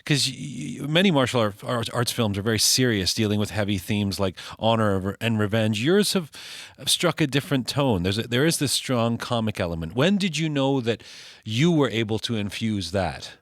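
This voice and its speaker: Low-pitched male host, probing, conversational, dry studio sound. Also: animated, normal speed, few pauses, dry recording. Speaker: radio talk show host. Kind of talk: interview questions.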